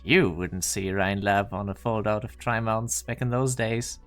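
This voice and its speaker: A man's voice: on the low side, measured pace, a bit bright, faint music behind. A man playing a haughty, impatient research director in a game fan dub, coldly commanding and curt. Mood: condescending. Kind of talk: scripted anime game dub acting.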